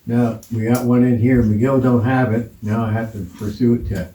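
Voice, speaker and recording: A man's voice: mid-range voice, level tone, normal speed, dark tone, far from the mic. A man making brief, informal remarks in casual meeting chat. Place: small-town council chamber, indoors. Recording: echoey room, faint noise.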